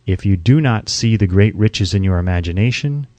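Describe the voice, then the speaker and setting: A man narrating a scripted self-help audiobook in a measured, emphatic, instructional way. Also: on the low side, expressive, dark tone, no echo.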